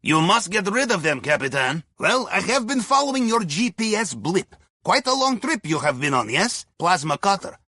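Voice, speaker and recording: A wry Russian submarine navigator advising on heists in a video game. His high voice swings in lively arcs, with a Russian accent and darkly dry humor. The sound is close and dry, with no room around it. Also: moderate pace, husky, no echo, heavily acted. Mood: matter-of-fact briefing. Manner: dry, darkly humorous, articles dropped from speech. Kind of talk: video game mission dialogue.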